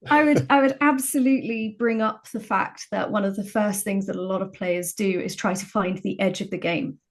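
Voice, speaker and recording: A woman's voice: medium pitch, steady tone, normal speed. A woman speaking as a guest in a panel discussion, stringing examples together in a loose, conversational way. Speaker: guest, game writer.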